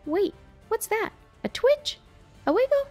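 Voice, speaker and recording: Storybook chick played by a woman: young, male, adoring and eager, very high, swooping delivery. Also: sing-song, measured pace, darkish tone, faint music behind, exaggerated voice. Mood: excited. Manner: eager, exclaiming, questioning.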